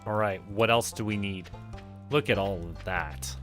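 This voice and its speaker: Casting: male game streamer, lowish voice, playful self-talk, casual commentary over clear background music. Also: unhurried, fairly bright tone. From Canada. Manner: thinks aloud, chatty self-talk, playful.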